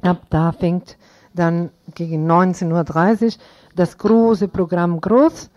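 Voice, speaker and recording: Slow, mellow woman announcing on radio. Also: on the low side, steady tone, dry recording. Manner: halting, improvised phrasing. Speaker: radio presenter. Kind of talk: informal event announcements. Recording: dull, dry lo-fi radio recording.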